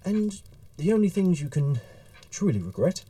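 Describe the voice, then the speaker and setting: A man acting in an audio drama as an earnest, dry, slightly awkward male Victorian writer, speaking in a formal, measured, wry way. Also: sits high, very animated, mellow, no room sound. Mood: warm farewell.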